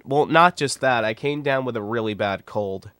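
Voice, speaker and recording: A man's voice: medium pitch, normal speed, a bit bright, no room sound. A man in his twenties with a nasal, stuffy voice from a cold, talking bluntly and rambling in casual livestream commentary. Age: 20s. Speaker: YouTuber and streamer.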